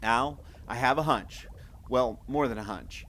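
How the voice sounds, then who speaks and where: A man's voice: mid-range voice, measured pace, fairly bright tone, a little hiss or hum, natural voice. A man playing an eager, clever and confident adventurer in an audio drama. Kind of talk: scripted audio adventure acting.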